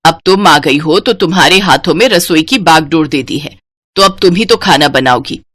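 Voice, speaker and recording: An elderly Indian mother-in-law played by a woman storyteller, fussy and critical. Her voice sits lower, with a bossy, complaining way of giving orders. It is a moral-story reading with very little room sound. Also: moderate pace, a bit bright, no room sound. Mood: scolding, dissatisfied.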